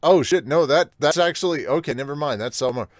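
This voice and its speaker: A man hosting a podcast, chatting casually and excitably through a manga read-along, with some swearing. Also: fairly high pitch, quick pace, dry recording, clean studio sound. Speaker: podcast host.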